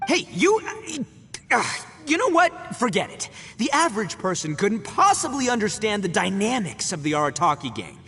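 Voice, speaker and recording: Loud show-off oni boss, bright twangy voice, laughs at everything. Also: male voice, high voice, wildly swooping pitch, moderate pace, slight background noise, heavily acted. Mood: boastful. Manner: brash, loud, laughs a lot. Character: boastful rowdy male oni gang boss. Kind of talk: video game voice acting. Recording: game audio with long reverb.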